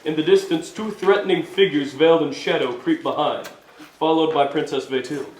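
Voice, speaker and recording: A man reads stage directions at a live staged reading. His voice sits a little high. The room is echoey, with faint noise behind him. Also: normal speed, a little mellow. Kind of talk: scripted narration for a staged reading.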